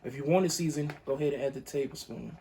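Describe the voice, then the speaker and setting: A young man with a fairly high voice talks his viewers through a recipe in a relaxed, chatty way, calling them "y'all". He sounds a little groggy, fresh out of bed. A pot bubbles faintly behind him. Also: fast talker, a little hiss or hum. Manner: laid-back, chatty, addresses viewers as y'all. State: morning voice, just woke up. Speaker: cooking channel host. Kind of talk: casual recipe demonstration. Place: living room with a pot on a hot plate.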